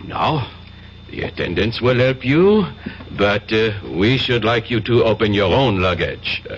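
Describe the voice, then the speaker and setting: Deliberate French police official, muffled recording. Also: male voice, fairly low pitch, very animated. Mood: brisk, courteous. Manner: polite, deliberate, hesitant pauses. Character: courteous, methodical French customs detective, adult man. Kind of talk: 1970s radio drama acting. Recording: muffled 1970s radio recording, faint noise.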